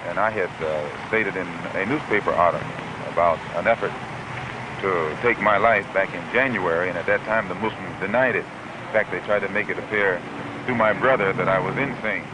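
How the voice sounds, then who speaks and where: A man speaking in a measured, deliberate, emphatic way, explaining as in an interview. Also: low voice. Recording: noisy archival recording.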